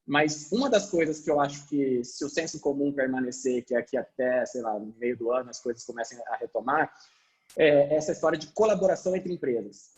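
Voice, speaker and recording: A man's voice: medium pitch, fairly quick, rough recording. A man speaking as a panelist over a video call, explaining animatedly with frequent fillers. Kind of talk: webinar panel discussion.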